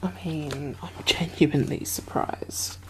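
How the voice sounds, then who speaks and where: A woman playing a caring, uneasy survivor of a zombie apocalypse in a soft-spoken ASMR roleplay, breathy and hesitant, often slipping into whispers. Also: low voice, steady tone, background noise. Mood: amazed, speculating. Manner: hesitant, musing, halting.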